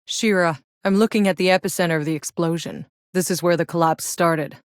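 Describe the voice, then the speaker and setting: Woman acting a tough, low-voiced sardonic soldier. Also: steady tone, fairly quick, clear, resonant tone, no echo, clean studio sound, lightly acted. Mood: grim, determined. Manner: wry, blunt, swearing. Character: tough, sardonic battle-hardened female soldier in sci-fi game. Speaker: video game protagonist. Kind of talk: video game voice lines.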